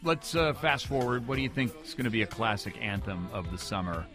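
A man's voice: medium pitch, animated, fairly quick. A man hosting a conversational music interview on the radio. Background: music playing behind the talk.

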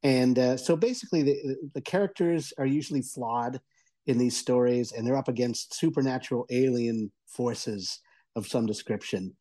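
A male radio columnist talks through a review in a conversational style. His voice is mellow and dark, with a husky grain, and he explains keenly in halting stretches. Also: medium pitch. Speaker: audio entertainment columnist and reviewer.

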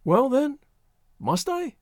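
Male reader as naive young woman, high small quavering voice, tearful, faltering, storybook character, dry sound. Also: big pitch swings, slow, a little mellow, no room sound, full character voice. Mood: flustered, pleading. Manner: hesitant, faltering, pleading.

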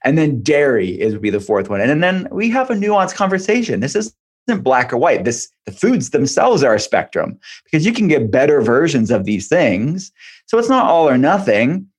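A man's voice: medium pitch, big pitch swings, normal speed, slightly rough. A man speaking as an expert guest in an interview, explaining point by point and repeating phrases for emphasis. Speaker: guest expert, functional medicine practitioner.

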